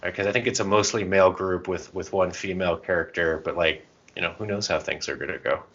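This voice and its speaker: Bright, low-voiced man chatting casually. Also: steady tone, fast talker, highs cut off. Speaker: podcast co-host. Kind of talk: casual chat about tabletop roleplaying and comics.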